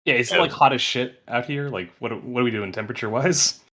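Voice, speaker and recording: A man's voice: mid-range voice, fast talker, sharp tone. A man chatting in a casual, joking way as a player in a tabletop game.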